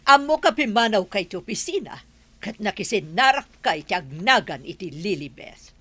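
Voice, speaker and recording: A woman's voice: mid-range voice, very animated, moderate pace, fairly bright tone, breathy edge. Curt, imperious lady boss. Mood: stern. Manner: curt, demanding. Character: stern, suspicious mother, imperious lady boss. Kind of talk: Ilocano radio drama acting.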